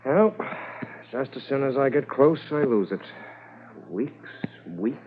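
An actor playing an eager, puzzled police constable in a 1950s radio drama, musing aloud. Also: male voice, medium pitch, slow, highs cut off. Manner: animated, musing. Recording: noisy, thin old radio recording.

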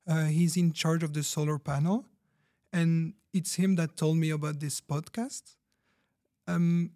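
Man playing a higher-voiced young logic devotee. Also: mellow, close to an everyday voice. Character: logic-obsessed, proud, hardworking young guest from rationalist community. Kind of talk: fiction podcast backstory monologue.

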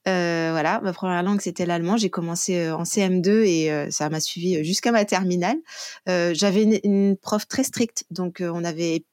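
A woman's voice: fairly low pitch, darkish tone, no room sound, studio quality. A middle-aged woman answering podcast interview questions casually and reflectively, often searching for words and correcting herself. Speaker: interview guest, online French and Turkish teacher.

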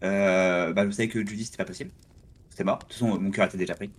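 A man's voice: low voice, steady tone, rapid-fire. A man co-hosting a gaming podcast in casual chat, often restarting his sentences and steering the discussion along. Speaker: podcast co-host.

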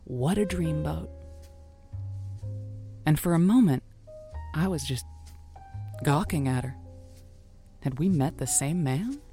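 Radio call-in hostess, lowish female voice, wry, playful, theatrical storytelling, audio drama, music behind. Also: lively intonation, takes it slowly, long pauses, dark tone. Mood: exasperated, animated. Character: wry, theatrical woman hosting a late-night lonely-hearts radio show. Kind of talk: semi-improvised audio drama monologue.

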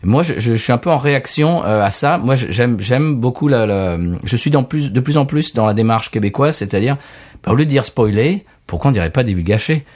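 A man from France chatting casually as a podcast guest, talking in an animated way with many stammering restarts. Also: mid-range voice, a little fast. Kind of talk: casual conversation.

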